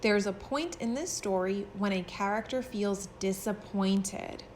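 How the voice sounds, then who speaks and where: A woman's voice: medium pitch, unhurried, a little mellow, a little hiss or hum. A woman teaching pre-kindergarten children, reading a story aloud expressively and explaining it in simple words.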